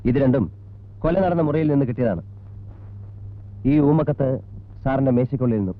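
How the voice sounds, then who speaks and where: An actor playing a persistent, businesslike police investigator in a film drama, putting clipped, probing questions in a Malayali accent. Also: male voice, mid-range voice, moderate pace, lightly acted. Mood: probing, formal. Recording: tinny band-limited old film soundtrack.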